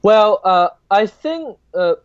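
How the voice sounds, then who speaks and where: Higher-voiced Chinese-accented man, dull audio. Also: expressive, clear, resonant tone, dry recording. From southern China. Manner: halting, emphatic non-native English. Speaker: opera composer, podcast guest. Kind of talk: interview talk.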